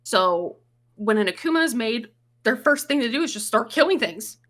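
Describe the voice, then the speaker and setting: A woman's voice: on the high side, expressive, moderate pace, a bit bright. A woman who is a guest on a casual podcast, recorded remotely over the internet. She explains things at length and swears casually.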